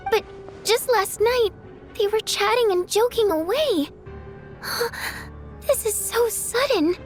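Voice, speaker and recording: An actress playing a gentle, shaken young woman who speaks softly and with distress in a game. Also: very high voice, slow pace, faint music behind. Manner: soft, distressed. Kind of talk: game voice acting.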